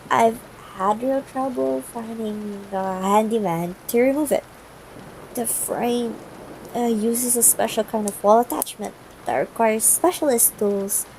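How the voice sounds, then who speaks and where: A woman playing a tender, yearning, gently commanding CEO ex-girlfriend in an intimate ASMR roleplay, soft-spoken and formal in wording, with hesitations. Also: a little high. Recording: noisy broken-microphone home recording.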